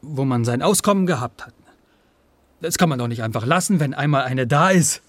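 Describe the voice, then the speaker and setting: Actor as a halting, evasive humble peddler, dry close sound. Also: male voice, medium pitch, expressive, no echo, lightly acted. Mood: defensive, flustered. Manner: halting, rambling, says "one" instead of "I". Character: rootless Swiss peddler, evasive, humble, anxious applicant. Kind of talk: German radio play acting.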